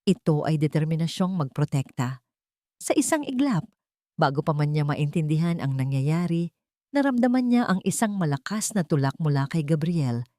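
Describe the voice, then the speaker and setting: A woman with a Filipino accent reads a scripted romance story in an emotive, deliberate, dramatic way. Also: low voice, sing-song, warm tone, no echo, clean studio sound. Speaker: story narrator.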